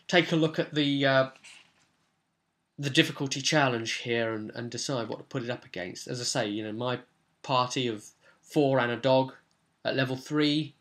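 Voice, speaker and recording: Casual male game master recapping a session, bright, forward voice, conversational with explanatory asides. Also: medium pitch.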